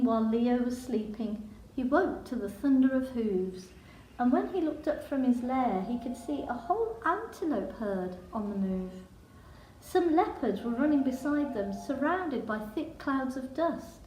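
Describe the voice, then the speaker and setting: A woman reading a children's picture book in verse with a measured, dramatic storytelling delivery. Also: sits high, lively intonation, a little mellow, slight background noise. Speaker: storybook reader. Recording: echoey room.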